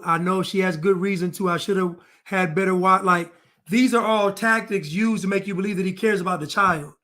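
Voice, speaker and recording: Bright high-voiced man commentating. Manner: emphatic, repeats rhetorical questions. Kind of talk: true crime commentary.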